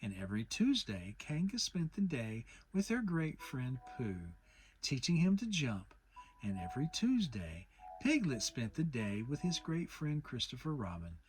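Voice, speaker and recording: A woman's voice: extremely low pitch, very animated, a little slow, darkish tone, faint music behind. A woman narrating a children's audiobook as a dramatic reading. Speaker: storybook narrator.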